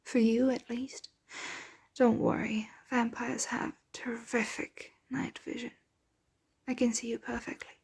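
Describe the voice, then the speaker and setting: A woman playing a doting, genteel vampire mistress in an ASMR roleplay, speaking softly and breathily in a soothing, possessive, coaxing manner. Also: a little high, flat delivery, vocal fry, husky, full character voice. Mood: gentle, pleased. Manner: slow, coaxing, whispery soft-spoken delivery. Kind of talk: ASMR roleplay, soft intimate close speech.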